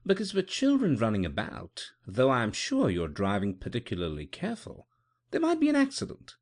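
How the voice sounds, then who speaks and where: A man reading as a deferential old-school butler who speaks politely and formally, his pitch raised well above the narrator's own and moving widely. It is a character voice in a storybook audiobook. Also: wildly swooping pitch, a little fast. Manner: polite and formal, saying sir often. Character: deferential, watchful male butler.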